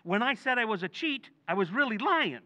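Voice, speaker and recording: A man acting a guilt-ridden working-class husband from an old-time radio comedy. His voice is high and bright, leaping up and down as he pleads and frets earnestly. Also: very animated, fairly quick, full character voice. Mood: worried, pleading.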